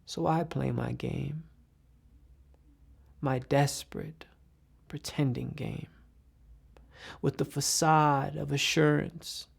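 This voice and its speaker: A woman reading poetry aloud in a deliberate, expressive, dramatic way, with phrases that can fall hushed and breathy. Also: sits low. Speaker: poetry reader.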